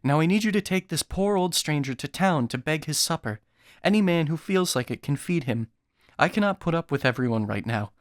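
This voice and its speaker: A man voicing an earnest young prince, firm, calm and formal, in an epic audiobook. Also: on the high side, a little fast, no room sound, studio quality, pitched above the performer's own voice, lightly acted. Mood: impatient, burdened.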